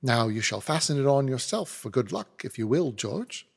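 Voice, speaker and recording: A man's voice: medium pitch, expressive, moderate pace, creaky, breathy edge. A man voicing a cheerful, motherly soldier's wife in a raised, airy voice with a wandering put-on accent. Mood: fond. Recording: reverberant room.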